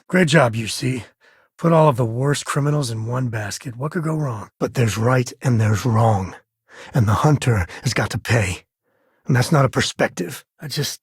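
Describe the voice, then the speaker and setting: A rugged spacefaring adventurer speaks in a very husky, rough-edged voice at a medium pitch. He is folksy and wry, talking like an easygoing video game companion. The recording is dry and close, with no room around it. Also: dry recording. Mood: firm, then uneasy, cautious. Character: rugged, wry space explorer and devoted single father. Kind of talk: video game voice acting, conversational.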